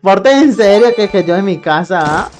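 A man's voice: high-pitched, animated, moderate pace, darkish tone, slight background noise. A young Colombian man streaming a game live on a headset mic, greeting viewers by name and calling them brother. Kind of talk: live gameplay stream chat. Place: small room at home.

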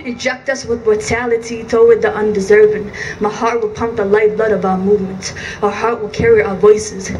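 A woman's voice: medium pitch, fairly even intonation, fairly quick, hardly any pauses, darkish tone. Young woman poet at an outdoor rally. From Iraq. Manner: intense, rhythmic, emphatic delivery. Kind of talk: spoken word poetry at a rally. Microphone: handheld mic through PA, filmed from a distance. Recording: distant outdoor PA with faint noise.

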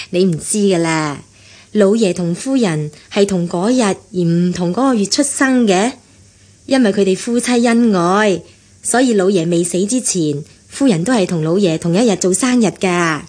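An actress playing a chatty, fussy household servant with Hong Kong Cantonese speech in a radio drama. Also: female voice, medium pitch, moderate pace, darkish tone, close to an everyday voice. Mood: explaining, amused.

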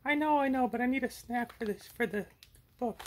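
A man voicing a whiny, excitable, childlike little monster in a comic puppet show, candy-loving and pleading. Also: extremely high pitch, a little fast, very noisy, pitched above the performer's own voice. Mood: eager, excitable.

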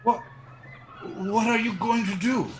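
A man's voice: high voice, fairly even intonation, unhurried, a little hiss or hum. A man in his sixties playing a sneering, cowardly kidnapper in a radio mystery play. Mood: panicked, pleading. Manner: stammering when scared, drawling taunts. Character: cowardly sneering kidnapper, oily bully turned sniveling.

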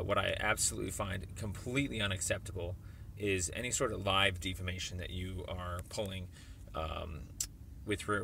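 Low, gravelly man speaking deliberately. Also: sharp tone, a little hiss or hum. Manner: deliberate, halting, insistent. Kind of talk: formal spoken voicemail message.

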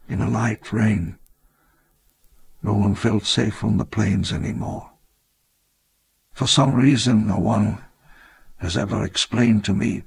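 Aging park visionary, game voice-over: very slow, gravelly, solemn and reflective. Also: male voice, fairly low pitch, exaggerated voice. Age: older. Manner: measured, reflective, grandly solemn. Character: elderly grandiose dinosaur-park founder, wistful. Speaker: narrator of his own memoir. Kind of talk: scripted memoir narration for a game.

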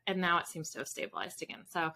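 A woman's voice: lowish voice, animated, fast, creaky, bright, forceful tone. A woman in her thirties chatting casually as an interview guest on a video call, informal and self-deprecating. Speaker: guest, fiction author and podcaster. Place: indoor home room with bookshelves. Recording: video call with background noise, clicks.

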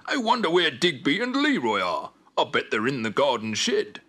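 A man voicing an excitable, forgetful young male dog in an eager, exclaiming way for a children's story. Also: medium pitch, normal speed, voice pushed up. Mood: puzzled.

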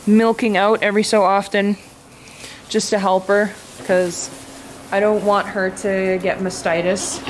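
A young woman talks straight into a handheld camera, relaxed and chatty, with easy fillers as she explains things. Her voice sits in a middle register. The farm barn around her adds a clear layer of background noise. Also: mid-range voice, rough recording. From Canada. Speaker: farm vlogger. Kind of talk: casual vlog talk to camera. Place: indoors in a large goat barn with straw pens. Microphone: handheld camera at arm's length.

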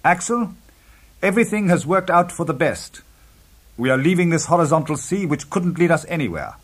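An older professor on an expedition, acted by a male narrator, declaims with excitement and command. His pitch is raised and leaps about, and the voice has a husky grain. Every phrase lands as an emphatic storybook exclamation. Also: normal speed. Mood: elated.

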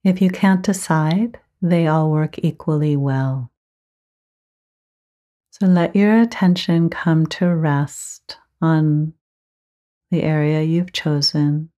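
Slow, low-voiced woman guiding meditation. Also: steady tone, dull audio. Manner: gentle, measured instruction with frequent pauses. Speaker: meditation teacher. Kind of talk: guided meditation.

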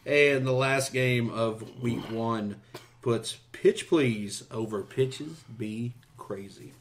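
A man's voice: mid-range voice, slow pace. A man co-hosting a casual podcast, reading out game results and joking between them, laughing often. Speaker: podcast co-host. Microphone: headphones.

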